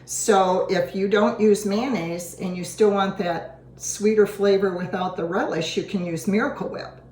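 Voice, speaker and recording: A woman hosting a home cooking show, a little hoarse, chatting in a homey way as she works through a recipe. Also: mid-range voice, fairly even intonation, unhurried, a little mellow, slight background noise. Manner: chatty, homey, talks while working. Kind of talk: casual cooking demonstration. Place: home kitchen. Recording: echoey kitchen with clattering dishes. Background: dishes and utensils clinking.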